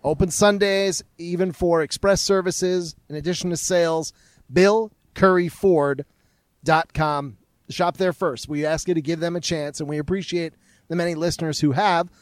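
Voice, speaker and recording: A man co-hosting a sports talk show, reading sponsor spots in a brisk, salesy way. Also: a little high, fairly even intonation, dry recording. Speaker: co-host reading sponsor ads. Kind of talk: sports radio banter with live reads.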